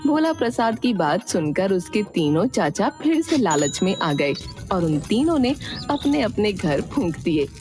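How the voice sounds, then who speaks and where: A woman narrating a moral story for a cartoon in a lively storytelling manner. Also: medium pitch, measured pace. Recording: music playing behind.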